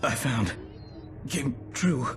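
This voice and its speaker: A man's voice: mid-range voice, animated, drawn-out words, music in the background. An actor playing a wounded, terrified man in a video game, weak and struggling for breath. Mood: pained, frightened.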